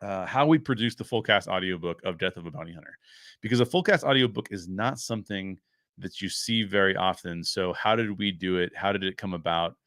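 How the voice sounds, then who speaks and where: Low-voiced man, dry close sound. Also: brisk, fairly bright tone, no room sound. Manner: conversational, often thinking aloud. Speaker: host of a pop culture review show. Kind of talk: casual solo commentary to viewers.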